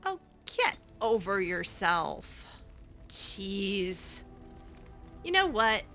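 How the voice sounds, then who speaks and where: A woman's voice: medium pitch, big pitch swings, extremely slow, sharp tone, soft background music. A woman improvising in character over a fantasy game, speaking casually with a hesitant, sassy manner. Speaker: game streamer roleplaying her character.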